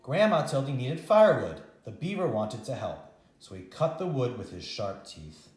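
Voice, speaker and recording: A man reads a children's picture book in a very animated, swinging voice. He uses dramatic pauses for young listeners, and the room adds a noticeable echo. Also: medium pitch. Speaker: teacher reading a children's story. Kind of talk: animated read-aloud storytime narration.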